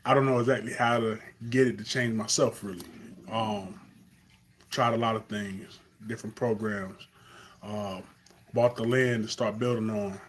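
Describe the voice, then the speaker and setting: A man's voice: lowish voice, steady tone, moderate pace, slight background noise. A young man from Carolina with a Southern accent, talking earnestly off the cuff in a live stream monologue, with fillers and restarts.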